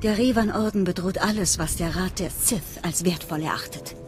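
An actress playing a secretive, fanatical cult leader in a game, speaking in a measured, earnest, conspiratorial way. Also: female voice, fairly low pitch, over music, lightly acted. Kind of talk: dramatic game voice acting.

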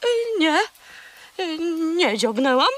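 A woman's voice: high voice, very animated, slow, no room sound, exaggerated voice. A woman voicing a boastful, chatty, hungry female seagull in a children's audio play, haughty and dramatic. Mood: boastful storytelling.

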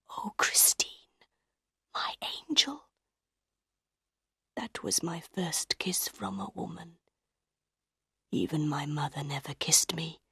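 A woman voicing an ugly, tormented, lovesick man in an audiobook, in a slow, hushed, breathy whisper, menacing yet pleading. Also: sits low, dry recording, clean studio sound, pitched below the performer's own voice, exaggerated voice. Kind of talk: audiobook character voice.

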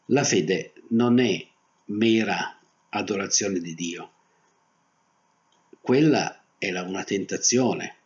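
An older man preaching a sermon as a pastor, phrasing deliberately with pauses. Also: low-pitched. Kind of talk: Protestant sermon. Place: small indoor room, seated at a desk. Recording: dull, muffled webcam audio.

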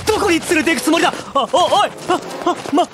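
A man's voice: very high-pitched, animated, fast. A man playing an exasperated, easily flustered young male teacher in an anime audio drama. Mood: panicked.

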